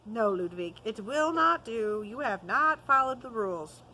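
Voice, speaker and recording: A woman reading the part of a stern but kindly old male music master in a storybook, in a firm, measured way. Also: fairly high pitch, animated, sharp tone, slight background noise.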